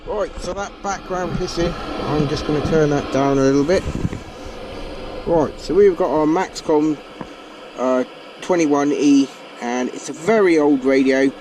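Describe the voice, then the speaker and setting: British man vlogging over clear background noise. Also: fairly high pitch, unhurried. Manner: chatty, addresses viewers as folks. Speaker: vlog host. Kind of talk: casual hands-on gadget walkthrough. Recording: clear vehicle and ambient noise.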